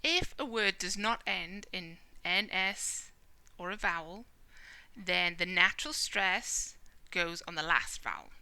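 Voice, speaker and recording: A British woman teaching a Spanish lesson, explaining clearly and checking in with the listener as she goes. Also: on the low side, slow pace, bright, forceful tone, no echo, low-quality recording. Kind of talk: whiteboard language lesson.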